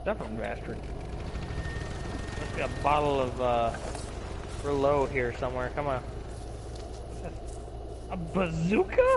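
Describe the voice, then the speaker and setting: A man with a lisp chatting excitedly while gaming, cursing and yelping at what happens on screen. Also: mid-range voice, a little slow, pauses often, noisy background. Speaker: gamer. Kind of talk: excited gameplay chat.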